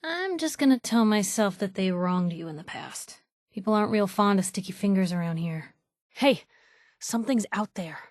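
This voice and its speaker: A woman's voice: medium pitch, no room sound, clean studio sound, lightly acted. An actress playing a brash, fearless young woman adventurer in a video game, with sardonic, teasing quips. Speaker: game companion character. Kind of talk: video game voice lines, combat barks and quips.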